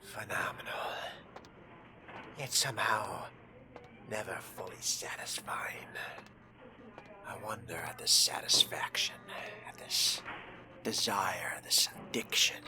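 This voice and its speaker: A man voicing a haughty, scheming fledgling vampire in a video game roleplay, breathy and near-whispered, musing to himself in pompous, disdainful language. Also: deep voice, slow, long pauses. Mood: musing, hungry. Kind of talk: in-character roleplay monologue over a video game.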